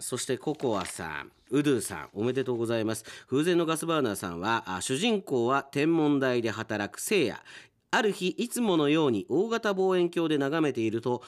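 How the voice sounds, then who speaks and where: A male radio announcer presenting in a chatty, playful, animated conversational way. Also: medium pitch, no room sound. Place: radio studio. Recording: studio voice over faint music.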